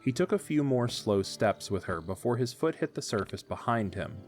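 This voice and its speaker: A man narrating an audio drama in a measured storytelling delivery. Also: mid-range voice, animated, faint music behind.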